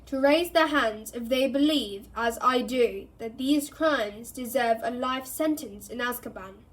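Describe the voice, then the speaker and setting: A woman's voice: fairly high pitch, a little slow, lightly acted. Woman playing a bellowing stern judge. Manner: bellowing, formal. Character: stern older male judge, harsh and cold.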